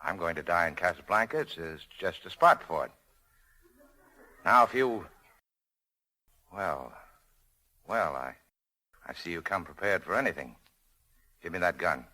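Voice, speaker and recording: A bitter, world-weary jilted lover in a 1940s radio drama. His voice is deep with a hard, forceful edge. He is curt and sardonic, like a hard-boiled cynic. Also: expressive, measured pace, long pauses, heavily acted, rough recording. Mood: defiant. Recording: old 1940s radio broadcast.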